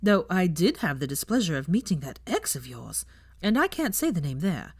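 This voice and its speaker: A woman's voice: on the low side, very animated, normal speed, darkish tone, slightly rough, no echo, studio quality, heavily acted. A woman voicing a blunt, cheerful young witch teacher in a story reading, breezy and prone to casual swearing. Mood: chatty, upbeat.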